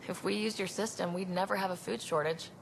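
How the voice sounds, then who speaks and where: An actress playing a confident young team leader in a video game, wry and direct. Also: female voice, on the low side, steady tone, brisk, vocal fry, a little hiss or hum, rough recording.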